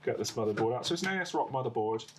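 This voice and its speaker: Male host, croaky from a cold, hesitant workshop chatter, noisy home recording. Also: medium pitch, lively intonation, rapid-fire. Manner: hesitant, many uh and um fillers. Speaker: host of a PC repair channel. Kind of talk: casual hands-on repair talk.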